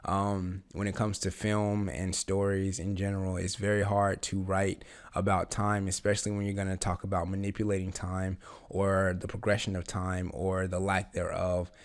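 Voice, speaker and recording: A man with a raspy voice, just getting over the flu, talking through a TV episode in a rambling, eager way with lots of fillers. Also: low-pitched, completely flat delivery, moderate pace. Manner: rambling, many fillers and restarts, eager fan. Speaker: reaction channel host. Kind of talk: casual reaction commentary.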